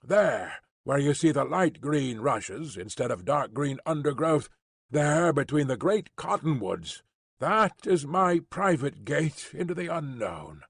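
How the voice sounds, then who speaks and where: A man's voice: on the high side, big pitch swings, a little slow, creaky, breathy edge, no room sound, studio quality, exaggerated voice. A man voicing a pompous, combative professor. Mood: eager. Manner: lofty, condescending. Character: pompous bearded male professor, combative and self-assured.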